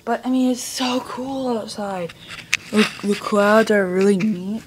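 A woman talks casually and apologetically to a handheld camera. Her voice sits at a middle pitch, and there is clear noise on the recording. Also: unhurried. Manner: apologetic, informal. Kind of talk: casual handheld vlog commentary. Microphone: handheld camera or phone.

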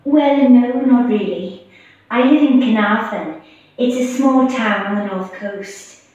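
A woman's voice: a little high, slow, a little mellow. Lady acting wistful young welsh girl in radio interview, muffled and echoey. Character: wistful young Welsh woman from coastal town. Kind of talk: scripted textbook radio interview. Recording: muffled echoey playback through classroom speakers.

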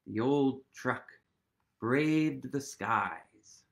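A man in his thirties reading a picture book aloud to young children, gently and expressively, with pauses and questions. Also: mid-range voice, vocal fry. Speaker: storytime reader.

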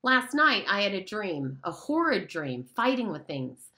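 A small, frightened young boy recounting something earnestly, as a woman reading the story voices him. His voice is piping, high and bright. Its pitch rises and falls widely. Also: big pitch swings, measured pace, exaggerated voice.

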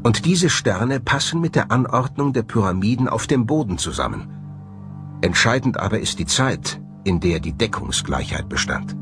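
A man's voice: fairly low pitch, very animated, moderate pace. A man delivering scripted narration for a documentary. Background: background music.

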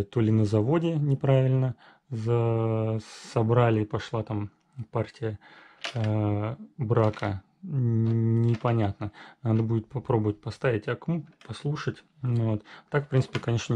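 A man casually walking through a new RC truck in a workshop, talking haltingly with many fillers and self-corrections. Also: medium pitch, mellow, a little hiss or hum. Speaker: RC hobby reviewer. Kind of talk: casual unboxing walkthrough. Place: indoor garage workshop.

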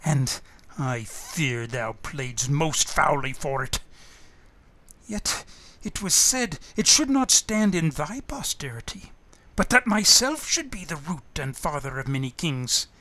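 A man's voice: a little high, expressive, slow, sharp tone, heavily acted. A man playing a solemn, suspicious Scottish nobleman in a Shakespearean radio drama. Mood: suspicious, brooding.

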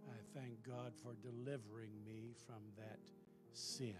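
An older man in a church speaking slowly and haltingly into a handheld mic before a closing prayer. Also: mid-range voice, flat delivery. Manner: slow, halting, reflective. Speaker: minister leading the closing prayer. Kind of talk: reflective talk before prayer. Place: church sanctuary. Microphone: handheld microphone. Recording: loud music under the voice.

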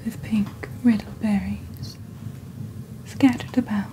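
A young woman playing a calm, mysterious witch who hosts a magical shopping channel in soft, breathy, half-whispered ASMR narration. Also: mid-range voice, natural voice, low-quality recording. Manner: slow, deliberate, hushed, theatrical presenting. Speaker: host of a fantasy shopping channel. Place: small dim indoor set. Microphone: close fluffy-covered studio microphone.

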